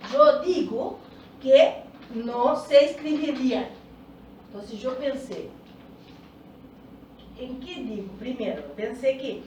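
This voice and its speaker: Slow, echoey Portuguese-native woman coach. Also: high voice, darkish tone, slight background noise. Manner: explains slowly, often checking in with "¿tá?". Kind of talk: teaching a group session. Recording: echoey room, far from the mic.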